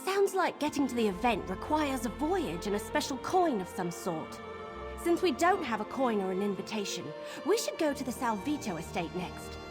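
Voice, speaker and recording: An actress playing a brisk, determined adventurer companion in a video game, purposeful and friendly as she gives directions. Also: on the high side, sing-song, over music. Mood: focused, planning.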